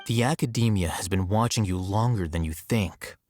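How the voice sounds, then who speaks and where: An actor playing an aloof, coolly logical young male scholar in a video game, measured, detached and matter-of-fact. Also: deep voice, darkish tone, slight background noise, close to an everyday voice. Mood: explanatory, grave. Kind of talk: video game character dialogue.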